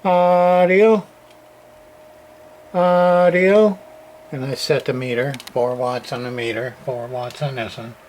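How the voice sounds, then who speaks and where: A man's voice: medium pitch, fairly even intonation, takes it slowly, long pauses, background noise. A man testing and repairing an old radio, muttering test calls and meter readings in casual workbench commentary.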